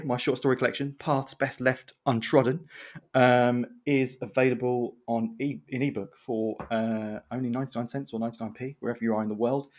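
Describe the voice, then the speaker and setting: A man co-hosting a podcast, talking in a casual, conversational style. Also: medium pitch. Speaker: podcast co-host and writer.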